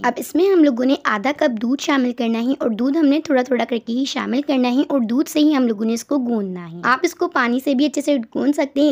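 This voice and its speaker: A woman's voice: on the high side, darkish tone, lo-fi sound. A woman giving step-by-step recipe instructions as a voice-over, often doubling words for emphasis. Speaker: cooking channel host.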